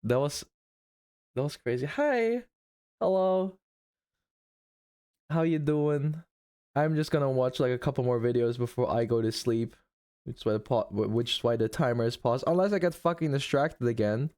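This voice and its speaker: A man's voice: medium pitch, expressive, normal speed, long pauses, darkish tone. A man live-streaming, chatting casually with his viewers, thanking subscribers and rambling with laughs and restarts. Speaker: livestreamer.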